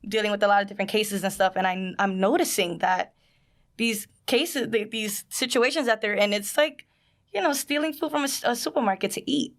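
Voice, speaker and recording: A woman's voice: medium pitch, fairly quick. A woman with a Brooklyn accent, a social worker guesting on a podcast, chatting casually with lots of "you know". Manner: animated storyteller, frequent "you know" and laughs.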